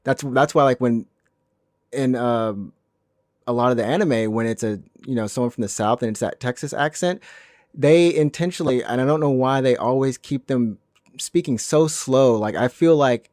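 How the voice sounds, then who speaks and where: A man from Alabama co-hosting a podcast in casual chat, talking in quick bursts with restarts and laughs. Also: medium pitch, darkish tone, no room sound, studio quality. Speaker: podcast co-host.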